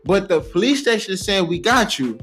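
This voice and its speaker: A high-voiced American man talks casually on a podcast. He tells things in an animated, slangy way among friends, with faint music behind him. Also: a little fast. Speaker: podcast co-host.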